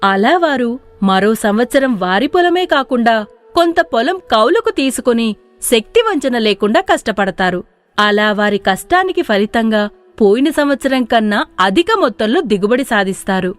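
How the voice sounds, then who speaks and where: A woman's voice: fairly high pitch, expressive, moderate pace, soft background music. A woman narrating a scripted moral tale in storybook style.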